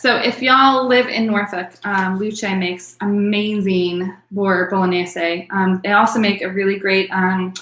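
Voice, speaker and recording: A woman's voice: medium pitch, fairly bright tone, echoey room. A woman teaching an online wine class in a chatty way, full of ums, calling on attendees by name. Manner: chatty, filler-heavy, addresses attendees by name.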